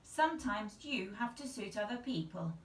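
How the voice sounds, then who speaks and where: A woman playing a brisk, exasperated mother in a storybook, speaking in a sharp, scolding manner. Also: mid-range voice, animated, echoey room, distant mic, lightly acted, poor audio. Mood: firm.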